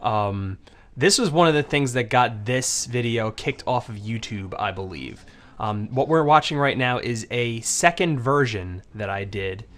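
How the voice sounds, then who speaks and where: A man's voice: mid-range voice, animated, unhurried. A man with a cough from a cold, giving casual spoken commentary on his own videos, rambling and hesitant with frequent ums and restarts. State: getting over a cold with a cough.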